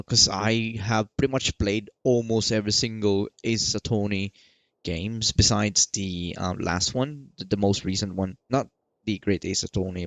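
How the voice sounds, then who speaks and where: A man doing casual let's play commentary in a rambling, halting way, often repeating himself, close to the microphone. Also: on the low side, a bit bright, no room sound. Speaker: gaming video creator.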